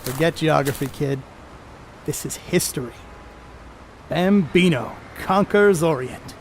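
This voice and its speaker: A man's voice: fairly high pitch, lively intonation, takes it slowly, slight background noise. A man playing a brash, crude, boastful middle-aged 1930s baseball star on stage, cocky and slangy. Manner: cocky, slangy, coarse-mouthed. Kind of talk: stage play acting, 1930s American banter.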